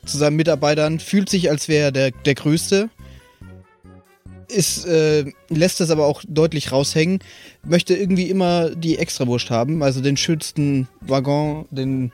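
A man's voice: on the high side, fairly even intonation, moderate pace, darkish tone. A man chatting casually as a podcast co-host about making game characters. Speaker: podcast co-host and tabletop role-player. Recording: music playing behind. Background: background music.

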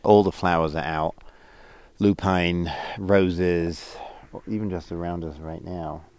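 A man with a very low voice chats about a long day on the trail in a relaxed, casual way, now and then swearing. He is worn out from hiking. He is recorded outdoors at a campsite on a clip-on mic. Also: slow pace, smooth. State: tired from hiking. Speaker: hiker vlogging a thru-hike. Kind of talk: casual trail diary chat. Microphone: clip-on mics.

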